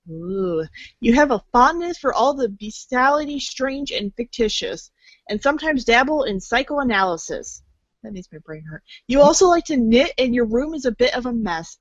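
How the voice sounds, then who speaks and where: A woman's voice: fairly high pitch, no room sound, muffled recording. A woman reading scripted second-person game narration in the manner of a read-along storybook.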